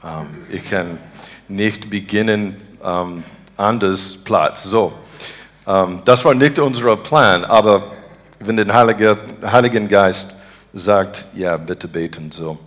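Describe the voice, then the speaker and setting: A man from Texas with an American accent, a native English speaker, preaching and praying earnestly in halting, broken beginner's speech as he searches for words. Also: lowish voice, a bit bright. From Texas, United States. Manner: earnest, devout. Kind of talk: informal sermon and spontaneous prayer.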